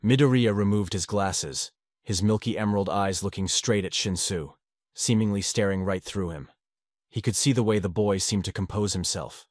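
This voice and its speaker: A man reading fan fiction aloud as an audiobook narrator, his voice low and even. He reads steadily and measuredly. The sound is dry, with no room around it. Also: normal speed, dry recording.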